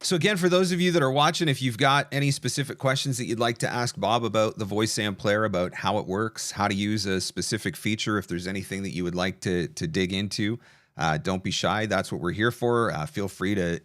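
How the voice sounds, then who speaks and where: Man, deep low voice, live stream host, casual, conversational, very dry sound. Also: a bit bright, no echo. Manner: conversational, informal.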